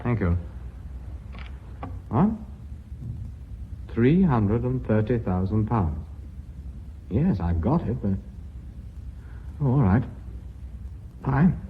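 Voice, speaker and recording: A man playing a brisk company boss in a British radio drama, with a clipped, businesslike manner and a British accent. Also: medium pitch, sing-song. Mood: tense. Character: Julian, brisk male company boss chairing a board. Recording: thin, phone-like, noisy old radio recording.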